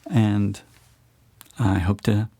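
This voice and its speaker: A man's voice: lowish voice, level tone, very slow, warm tone, no echo. A man speaking casually and earnestly as an interviewed author, offering thanks. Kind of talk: casual conversational talk.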